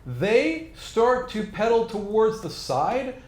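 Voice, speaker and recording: Male game master, casual and animated storyteller with a higher-set voice, recorded in an echoey room. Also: slow. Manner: informal, animated with spoken sound effects. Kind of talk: improvised narration of a tabletop game. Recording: roomy echo, faint background noise.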